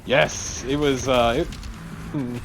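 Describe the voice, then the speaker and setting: A man's voice: mid-range voice, steady tone, slow pace, slight background noise, low-quality recording. A man chatting casually and wryly as a co-commentator over gameplay. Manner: wry, sweary. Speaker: let's play co-commentator.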